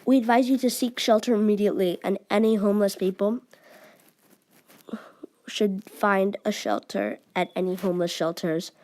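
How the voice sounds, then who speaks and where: A woman giving mock emergency news announcements in a formal but halting, amateur way. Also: medium pitch, steady tone, a little mellow.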